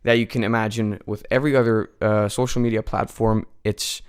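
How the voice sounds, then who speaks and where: A man's voice: on the low side, level tone, fairly quick, clear, resonant tone. A nineteen-year-old man from the United States, a guest chatting casually in a radio studio, with lots of fillers and restarts. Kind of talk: casual interview chat.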